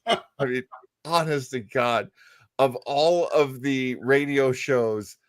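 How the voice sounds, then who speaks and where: A man's voice: mid-range voice, slow pace, no echo, clean studio sound. A man hosting a show, chatting casually and musing as he explains things at length. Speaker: radio show host. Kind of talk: casual chat about old radio.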